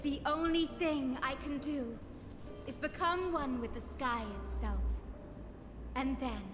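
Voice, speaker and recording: An actress playing a gentle, sorrowful young woman, a devoted sky guardian, speaking in solemn, poetic lines for a video game. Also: sits high. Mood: tender pleading. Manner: solemn, poetic, measured delivery. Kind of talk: dramatic video game voice acting. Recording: echoey long reverb under music.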